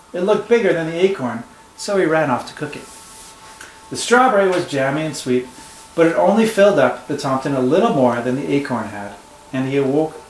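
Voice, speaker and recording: Expressive male teacher reading aloud. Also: fairly high pitch, a little hiss or hum. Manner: warm, expressive storytelling with asides. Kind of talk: read-aloud storytelling for children. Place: room at home. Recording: echoey room with faint ambience.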